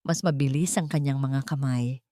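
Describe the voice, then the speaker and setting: A woman's voice: very deep voice, fairly even intonation, normal speed, dark tone. A woman with a Filipino accent reading a scripted, dramatic romance story as narrator.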